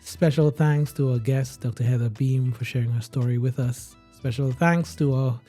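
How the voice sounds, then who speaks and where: A man's voice: medium pitch, moderate pace, dark tone, faint music behind. A man hosting a podcast in an earnest, upbeat way, laughing easily. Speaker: podcast host.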